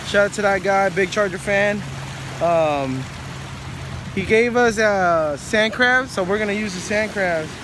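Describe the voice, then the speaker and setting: A fairly high-voiced man chats into a handheld phone on a windy lagoon shore. He rambles easily, with frequent fillers and restarts. Wind and water noise sit clearly behind him. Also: measured pace. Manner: chatty, many fillers and restarts. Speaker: fishing vlogger. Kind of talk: casual outdoor vlog. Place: rocky lagoon shore outdoors. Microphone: phone camera at arm's length.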